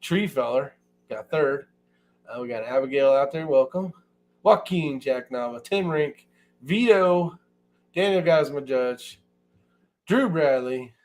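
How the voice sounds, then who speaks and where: A man's voice: medium pitch, slow pace, long pauses. A man hosting a casual live-stream chat, talking in an informal, loose and rambling way. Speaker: host of a shooting live chat show.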